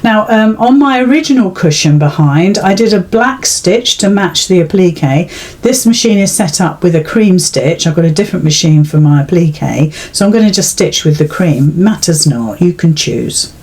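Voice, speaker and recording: A woman's voice: fairly low pitch, animated, moderate pace, a little mellow. Chatty British woman teaching a craft. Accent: British English. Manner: chatty, reassuring. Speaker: sewing tutorial host. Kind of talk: informal step-by-step craft demonstration.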